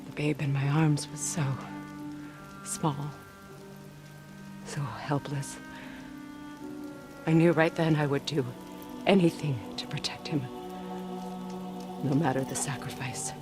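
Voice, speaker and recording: A woman playing a wise, sorrowful, motherly witch in a video game, speaking in a measured, solemn and tender way. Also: deep voice, fairly even intonation, over music. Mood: sorrowful, confiding. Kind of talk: dramatic video game cutscene acting.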